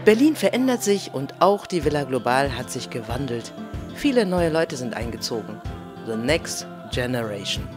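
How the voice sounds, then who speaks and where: A woman reading scripted trailer narration in a lively, upbeat way. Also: very deep voice, moderate pace. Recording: voice-over with clear music behind.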